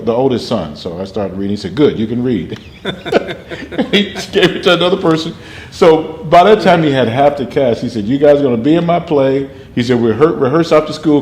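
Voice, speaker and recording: Booming older man telling stories. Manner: animated storyteller, laughs often. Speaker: actor and drama teacher. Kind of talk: interview storytelling. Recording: roomy with faint noise.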